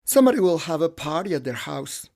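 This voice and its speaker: A man's voice: on the high side, very animated, fast, creaky, darkish tone. A man reading a first-person storytelling voice-over as an English translation. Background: faint music.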